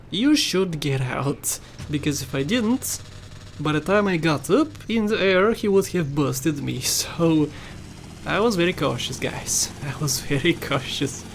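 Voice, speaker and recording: A man with a Bulgarian accent narrates his gameplay in a loose, chatty way, laughing often and teasing himself. His voice sits high for a man. A faint hiss runs under the recording. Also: a little slow, creaky. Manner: chatty, laughs often, playful self-mocking. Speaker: gaming YouTuber. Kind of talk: casual gameplay commentary.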